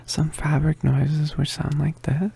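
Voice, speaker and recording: ASMR creator, extremely breathy, half-whispered, creaky, hesitant, casual introduction. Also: mid-range voice, little pitch movement, unhurried, dark tone.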